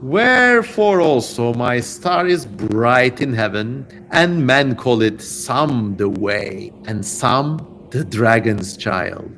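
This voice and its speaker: A man voicing a defiant, haughty male demon with slow, menacing, archaic speech in a dramatic reading, over some background noise. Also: medium pitch, expressive, a bit bright. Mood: haughty anger. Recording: noisy livestream audio.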